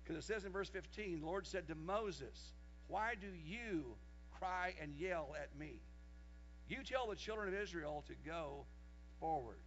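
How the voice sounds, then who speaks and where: A man in his sixties preaches in a fairly high voice, reading scripture aloud and building to loud, raised passages when he quotes it. The recording is dull and lo-fi, with a faint mains hum underneath. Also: lively intonation, a little slow. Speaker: pastor. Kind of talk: sermon.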